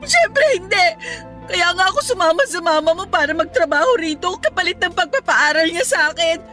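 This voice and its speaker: A young provincial girl in a radio drama, with an extremely high, piercing voice. She is indignant and defiant, scolding and pleading tearfully over background music. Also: lively intonation, a little fast, pushed and bright, exaggerated voice. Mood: indignant, hurt. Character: indignant young provincial working student, wronged but defiant. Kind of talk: Tagalog radio drama acting.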